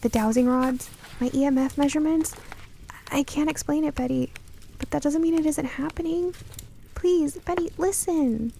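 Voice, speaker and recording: A woman playing a curious, earnest young woman who narrates her own spooky radio drama in a measured, storytelling way. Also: sits high, gravelly, dark tone, a little hiss or hum. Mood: pleading, urgent. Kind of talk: first-person scripted radio drama narration.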